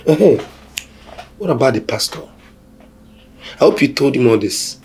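Man acting as a Nigerian best friend, playful, excitable, bouncy voice. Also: medium pitch, a little slow, slight background noise, lightly acted.

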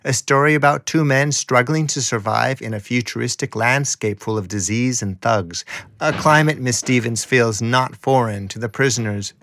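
A man's voice: mid-range voice, moderate pace, a bit bright, dry recording. A man reading scripted first-person documentary narration.

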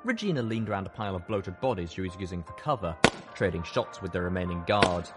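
A man's voice: low voice, lively intonation, fast, loud music behind the voice. A man reading scripted first-person fiction as an audiobook narrator.

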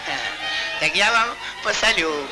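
Plucky, high-pitched cartoon soldier. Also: male voice, unhurried, poor audio. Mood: bold, commanding. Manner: hearty, wily, folksy exclamations. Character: plucky, cunning soldier of a fairy tale. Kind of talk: 1940s Soviet cartoon acting. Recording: old 1940s film soundtrack, music behind.

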